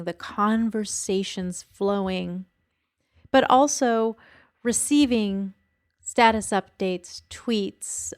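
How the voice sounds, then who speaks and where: A woman's voice: medium pitch, no echo. A woman reading scripted narration for podcasts and radio, as a host and reporter.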